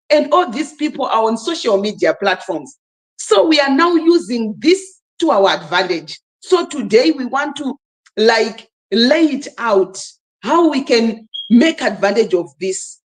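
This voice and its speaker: A woman from Zimbabwe hosting a live stream panel, speaking emphatically and urging the listeners on. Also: high-pitched. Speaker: livestream host. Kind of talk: casual live stream talk.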